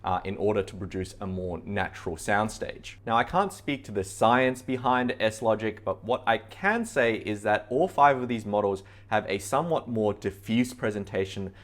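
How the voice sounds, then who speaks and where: A man with a fairly low voice presents products for a store. He talks as a reviewer addressing viewers directly. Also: expressive, moderate pace, hardly any pauses.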